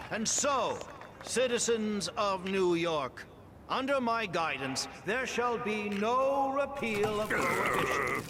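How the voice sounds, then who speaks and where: Game cutscene: grandiose, stern official, measured oratory, very animated pitch, echoey, music behind. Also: male voice, full character voice. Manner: pompous, declamatory. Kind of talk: formal political speech. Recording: echoing address over loud music.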